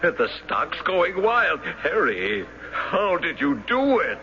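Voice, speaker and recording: Through a dull, hissy old radio broadcast comes an overwrought, domineering banker. His low voice swings widely and theatrically. He is emphatic and exasperated, in classic radio drama style. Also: big pitch swings, slow pace, pushed and bright, exaggerated voice. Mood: astonished. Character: overwrought, domineering banker, elder brother, excitable.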